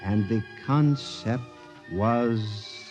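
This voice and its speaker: A man's voice: on the low side, drawn-out words. An actor playing an anxious, obsessive office clerk who recounts his nightmare with formal, dramatic intensity in the style of 1950s radio drama. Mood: awed dread. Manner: intense, dramatic, formal, swinging from hushed dread to frantic pleading. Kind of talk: 1950s radio drama acting, first-person narration. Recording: 1950s radio broadcast with music behind.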